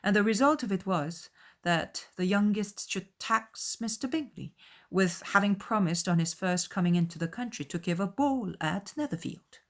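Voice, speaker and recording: A woman's voice: mid-range voice, animated, normal speed. A woman reading a scripted literary narration as an audiobook narrator.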